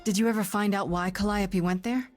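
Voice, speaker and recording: An actress playing a young muse heroine in a video game, casual and measured, with a dryly sceptical way of asking questions. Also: female voice, medium pitch, steady tone, darkish tone, soft background music, lightly acted. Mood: questioning. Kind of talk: naturalistic video game voice acting.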